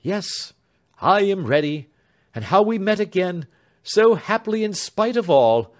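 An earnest young man speaks with fervent tenderness, his voice raised and singing up and down, as a man reads him aloud in a storybook. Each phrase rises high and emotional. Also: sing-song, unhurried.

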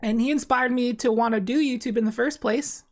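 A woman's voice: fairly high pitch, a little fast, darkish tone. A young woman talking casually while playing a card game on stream, rambling with many fillers and restarts, in a small dim room. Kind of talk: casual gameplay commentary.

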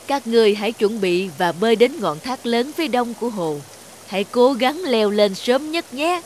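A woman voicing a stern, dignified old male heavenly messenger in an animated fairy tale, formal and commanding. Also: on the high side, moderate pace, a little hiss or hum, natural voice. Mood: solemn, announcing.

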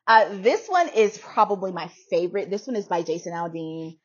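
A middle-aged woman with an American accent gives a casual, chatty try-on talk. Her voice sits on the low side with a husky edge, and she leans on phrases by repeating them. The home recording sounds slightly dull. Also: animated, normal speed. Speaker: vlogger showing a hat collection. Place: small room indoors at home.

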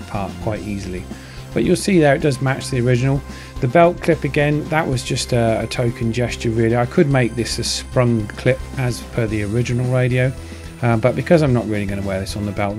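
A man's voice: mid-range voice, a little mellow. A man casually narrating his hobby build in a modest, understated way. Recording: music behind the voice. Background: guitar music.

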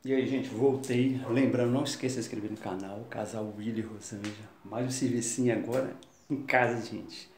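A man's voice: mid-range voice, steady tone, fairly quick, a little mellow. Chatty Brazilian man in echoey kitchen. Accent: Brazilian Portuguese. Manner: chatty, talks to viewers mid-task. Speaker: home DIY vlogger. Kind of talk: casual how-to narration while working. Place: small home kitchen, indoors. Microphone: handheld phone camera, close. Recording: echoey tiled room, faint noise.

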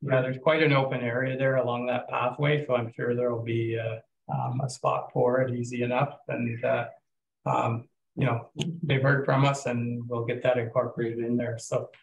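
A Canadian man chairing a municipal council meeting as mayor, moving motions along in a plain, steady way over a video call. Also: medium pitch, normal speed, gravelly, reverberant, lo-fi sound, slight background noise.

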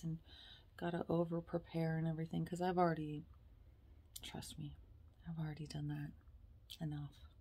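Slow, soft-spoken woman vlogging from bed. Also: deep voice. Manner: chatty, slips into soft whispers. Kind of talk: casual chat to camera. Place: bedroom, lying on a bed indoors. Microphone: phone camera at arm's length.

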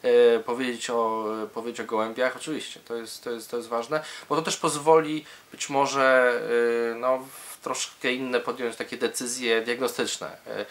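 A man's voice: medium pitch, flat delivery, unhurried, fairly bright tone. A man speaking as a medical specialist in an interview, explaining hesitantly and often repeating words as he searches for phrasing.

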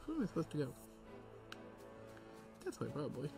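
A man's voice: on the high side, huge pitch swings, fairly quick. A man giving casual, joking let's-play commentary as a gaming streamer, speaking in an offhand way. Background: game music.

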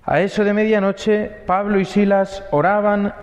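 A young priest from Spain, speaking with a Spain Spanish accent, gives a conversational homily at Mass, full of rhetorical questions and little tag words. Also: male voice, high voice, level tone, normal speed. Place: church. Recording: dull, lo-fi audio with long church reverb.

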